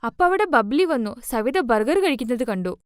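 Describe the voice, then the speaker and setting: High-pitched woman storyteller. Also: expressive, normal speed, dry recording, clean studio sound. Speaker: narrator. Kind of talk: children's moral story narration.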